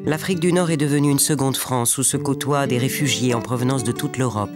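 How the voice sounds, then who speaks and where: A man in the middle pitch range narrates a history documentary in a measured, solemn manner. His delivery sounds scripted and steady. Music plays underneath him.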